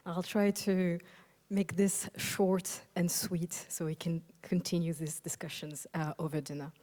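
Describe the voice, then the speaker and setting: A young woman from Algeria giving a conference keynote on a stage microphone, thoughtful and soft-spoken, with frequent ums and uhs. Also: lowish voice, flat delivery, unhurried. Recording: long reverb of a large hall.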